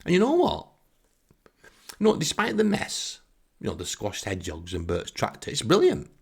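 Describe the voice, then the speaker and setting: A man's voice: fairly low pitch, huge pitch swings, moderate pace, vocal fry, slightly rough. A man with a Northern English accent telling comic first-person stories in a wry, self-deprecating way with theatrical emphasis. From northern England. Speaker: storyteller and host.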